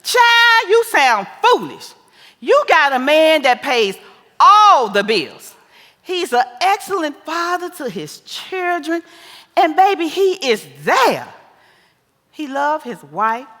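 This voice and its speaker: A woman's voice: high-pitched, big pitch swings, sharp tone, heavily acted. A woman on stage playing a bawdy, boisterous older mother who schools her grown daughter, sassy and preachy in a big theater hall. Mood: emphatic, teasing. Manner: sassy, preachy, exclamatory, drawn-out emphasis. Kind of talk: broad comedic stage play acting. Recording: echoey theater hall with long reverb.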